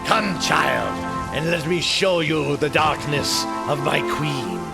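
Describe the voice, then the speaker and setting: A man's voice: on the high side, lively intonation, slow pace, gravelly, voice pushed up, heavily acted. A man playing a menacing, sinister male villain with a growl in a fantasy radio play, music behind him. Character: menacing dark male villain luring a child to darkness.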